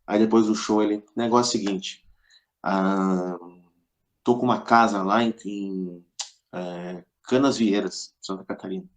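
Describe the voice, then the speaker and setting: A man's voice: fairly low pitch, fairly even intonation, measured pace. A middle-aged man with a southern Brazilian accent from Rio Grande do Sul, casually telling anecdotes as a podcast guest in a colloquial way, acting out quoted dialogue.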